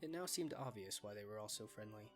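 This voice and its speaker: A man's voice: lowish voice, animated, fast, soft background music. A man reading scripted story narration as the narrator of a fan dub.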